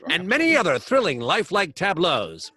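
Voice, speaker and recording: High, swooping sideshow barker. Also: male voice, wildly swooping pitch, normal speed, a crowd in the background. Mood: brash, hawking. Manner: hawking, declamatory patter. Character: excitable male waxworks barker, brash sideshow huckster. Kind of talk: old-time radio acting.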